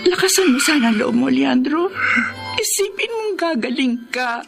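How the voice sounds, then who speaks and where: A high-voiced, pleading, devoted wife. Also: female voice, lively intonation, moderate pace, creaky. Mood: reassuring. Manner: tender, tearful, imploring. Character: devoted, pleading wife nursing her sick husband. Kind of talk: radio drama acting. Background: dramatic music.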